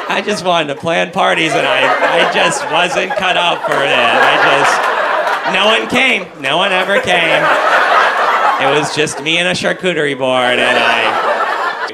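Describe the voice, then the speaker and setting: A man's voice: a little high, a little slow, creaky. A young man doing crowd work on stage with a handheld mic, teasing the audience and laughing at his own jokes. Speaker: stand-up comedian. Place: comedy club stage with a live audience. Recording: loud crowd laughter and club noise.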